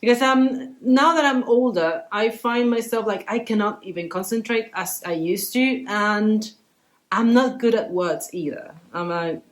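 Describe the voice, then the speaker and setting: Animated woman, Spanish native speaker. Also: medium pitch. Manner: animated, halting, with frequent restarts. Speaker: guest artist. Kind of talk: casual podcast chat.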